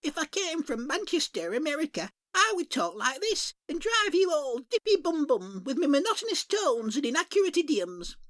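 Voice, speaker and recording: Storybook beaver shopkeeper, voiced by a woman: high, bouncy, sing-song, dearie-this-and-that, with a crackly grain. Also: big pitch swings, moderate pace, creaky, a bit bright, no room sound, studio quality, full character voice. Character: chirpy talking female beaver shopkeeper.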